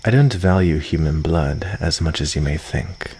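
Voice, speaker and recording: A man playing a calm, lonely, guarded vampire of the forest in an ASMR roleplay, with formal, dryly teasing phrasing. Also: very deep voice, a little hiss or hum. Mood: calm, dismissive. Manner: unhurried, soft-spoken formal phrasing with dry teasing. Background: cricket ambience.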